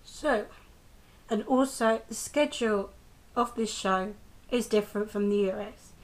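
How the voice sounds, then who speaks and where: Slow-talking British woman vlogger. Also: medium pitch. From United Kingdom. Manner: rambling, full of ums and restarts, candid. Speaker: vlogger reviewing a TV episode. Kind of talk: casual chatty review. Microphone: handheld camera held close to the face.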